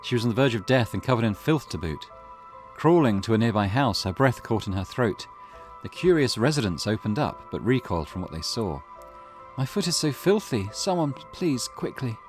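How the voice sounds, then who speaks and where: Man, game host, casual commentary, story narration, lively intonation, faint background music. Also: lowish voice. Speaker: let's play host.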